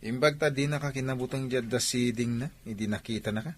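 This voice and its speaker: A man's voice: mid-range voice, fast, slight background noise, natural voice. A man acting a grumbling, guarded male boss and cousin in an Ilocano radio drama, with an Ilocano accent. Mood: protective, teasing.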